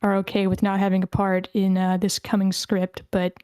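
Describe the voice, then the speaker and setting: A woman's voice: mid-range voice, monotone, moderate pace, warm tone, clean tone, no echo, studio quality. A woman casually hosting an online event, chatty and informal, often thinking aloud. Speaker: host of an online script table read.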